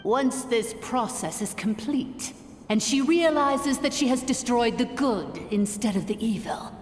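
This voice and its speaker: An actress playing a vengeful, contemptuous ancient machine sovereign in a video game, speaking in grand, scornful phrases. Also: female voice, on the high side, lively intonation, unhurried, in a large hall, slight background noise. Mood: menacing scorn. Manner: imperious, scornful, archaic grand speech. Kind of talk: dramatic video game voice acting.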